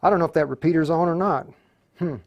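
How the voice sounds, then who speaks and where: A man hosting an unscripted live tutorial, speaking haltingly and often muttering to himself as he works. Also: a little high, a little mellow, muffled recording. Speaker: host of a live radio tutorial.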